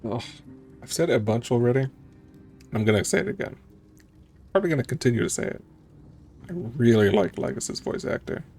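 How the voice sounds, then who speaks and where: Casual male streamer with creaky voice. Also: mid-range voice, lively intonation, a little fast, long pauses, a little mellow. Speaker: streamer playing and reacting to a game. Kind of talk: casual commentary.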